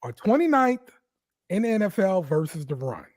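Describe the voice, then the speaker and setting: A man's voice: high voice, sing-song, normal speed, darkish tone. A man co-hosting a casual sports podcast, upbeat and teasing, often repeating himself. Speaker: podcast co-host.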